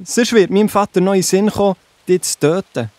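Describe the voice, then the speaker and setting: A man's voice: high-pitched, fairly quick, a little mellow, no echo, lightly acted. A man with a Swiss German accent playing a worried, loyal young prince in a children's Bible audio drama, speaking earnestly. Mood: worried, urgent.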